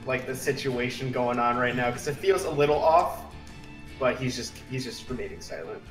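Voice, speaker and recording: A man's voice: mid-range voice, fairly even intonation, normal speed, fairly bright tone, faint music behind. A man casually describing his character during a tabletop roleplaying game, recorded in a roomy space away from the microphone. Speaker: roleplay player. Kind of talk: casual improvised roleplay chat. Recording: roomy echo, distant from mic.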